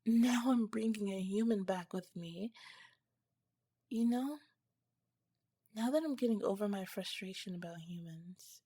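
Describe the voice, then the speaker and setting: A woman voicing a tender, curious alien scientist in an ASMR romance roleplay, speaking softly and formally in a breathy, whispery voice with some halting phrases. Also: medium pitch, dry recording, clean studio sound, natural voice. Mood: happy, reflective. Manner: soft, halting, formal wording. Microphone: close, dry mic.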